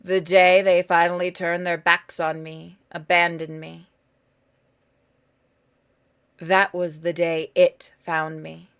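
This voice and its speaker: Woman narrating horror with dramatic pauses. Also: fairly low pitch, flat delivery, clean tone. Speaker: gaming channel narrator.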